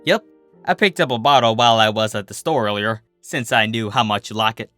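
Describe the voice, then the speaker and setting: Man as a folksy farmer mare, bright tone. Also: fairly low pitch, a little fast, no room sound, soft background music. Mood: easygoing. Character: steady, teasing female farmer pony, affectionate.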